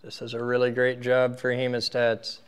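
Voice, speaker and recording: A man's voice: medium pitch, moderate pace. A man hosting an equipment teardown, talking informally and casually to viewers and to himself as he works with his hands. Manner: informal, muttering to himself while working, animated when addressing viewers. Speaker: host of an equipment teardown video series. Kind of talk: casual hands-on demonstration, talking while working. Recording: clear background noise, handling clicks.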